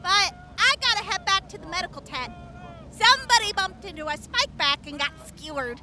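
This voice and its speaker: A woman's voice: extremely high pitch, expressive, moderate pace, noisy background. A woman playing a friendly, tough young raider medic in an audio drama, casual, upbeat and caring. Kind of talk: post-apocalyptic audio drama acting.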